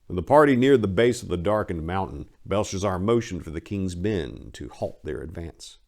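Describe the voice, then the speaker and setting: A man's voice: sits low, animated, moderate pace, creaky, a little mellow. A man reading his own story aloud as dramatic narration, in a grave, ominous, emphatic manner.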